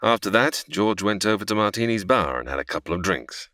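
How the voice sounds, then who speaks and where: A man's voice: fairly low pitch, lively intonation, brisk, sharp tone, dry recording. A man plays an earnest storyteller in an audio drama. The voice swings expressively and is recorded dry, explaining events with sympathy.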